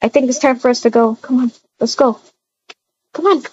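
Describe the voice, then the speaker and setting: A woman's voice: sits high, moderate pace, breathy edge, dull audio. A woman improvising a voiced video-game roleplay, chatting and narrating her own actions aloud.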